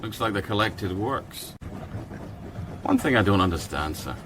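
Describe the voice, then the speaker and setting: An actor playing an earnest, polite police sergeant in a British radio drama, deferential and often puzzled. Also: male voice, on the low side, expressive, unhurried, noisy background. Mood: curious.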